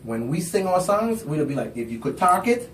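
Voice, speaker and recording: A man's voice: on the high side, reverberant, far from the mic, a little hiss or hum, rough recording. A man with a Caribbean accent, a songwriter chatting casually and laughing easily in a radio interview. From U.S. Virgin Islands. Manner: relaxed, conversational, quick bursts with laughs. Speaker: songwriter and performer guest. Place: radio studio.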